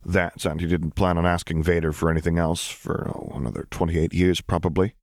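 A man narrates fiction in a low-pitched voice. The delivery is scripted storytelling, as for an audiobook, and the sound is dry with very little room. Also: fairly even intonation, a little fast, a little mellow, dry recording. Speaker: audiobook reader of fan fiction.

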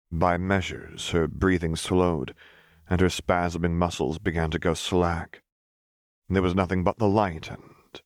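A man with a low voice narrates dramatic fiction. His storytelling is measured and expressive, and the recording is close and dry, with no room sound. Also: no room sound. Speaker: audiobook narrator.

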